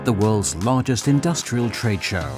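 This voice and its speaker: Man, scripted voice-over, measured formal read, deep voice. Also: animated. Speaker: narrator. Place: studio voice-over. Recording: voice-over over loud music. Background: music.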